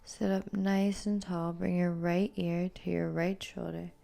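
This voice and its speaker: A woman leads a yoga class in a soft-edged, mellow voice with noticeable vocal fry. Her delivery is calm and very even in pitch, each instruction gently cued. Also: a little slow, soft background music. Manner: calm, soothing, gently cueing. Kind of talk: guided yoga and meditation instruction.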